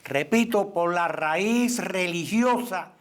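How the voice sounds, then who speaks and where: A man on a discussion panel speaks slowly in a fairly high voice. His commentary is earnest and carries a warning tone.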